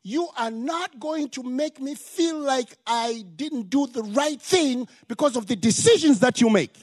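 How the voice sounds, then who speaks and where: An older male pastor with a Zimbabwean accent preaches with emphatic force. His voice sits high for a man and climbs further when he drives a point home. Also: a little slow, a little mellow. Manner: emphatic preaching with rising exclamations. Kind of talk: sermon.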